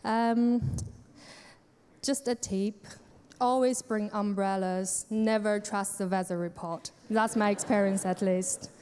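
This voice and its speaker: A woman's voice: mid-range voice, fairly even intonation, slow pace, a little mellow, clear, resonant tone. A woman from China, a business lecturer, introducing herself to students in a lighthearted way. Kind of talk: self-introduction speech. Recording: hall reverb.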